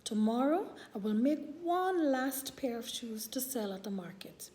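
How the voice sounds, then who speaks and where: A woman's voice: fairly high pitch, animated, a little slow, mellow. A woman voicing a kind, earnest shoemaker in a children's storybook, full of simple wonder. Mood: sad, resolved.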